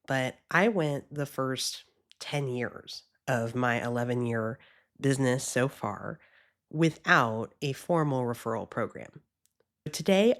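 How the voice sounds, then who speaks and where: A woman in her thirties talks through her podcast episode in an upbeat, chatty way. She sounds a little sniffly from a cold, speaking close to a podcast microphone. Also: low voice. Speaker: podcast host. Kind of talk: casual solo podcast talk.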